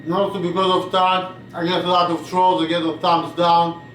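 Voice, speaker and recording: A man's voice: high-pitched, little pitch movement, normal speed, fairly bright tone, echoey room, distant mic, slight background noise, rough recording. A man in his late twenties from Russia, with a Russian accent, rambling bluntly in a casual vlog and swearing now and then. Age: 20s. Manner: blunt, swearing, drifts off topic. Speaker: vlogger.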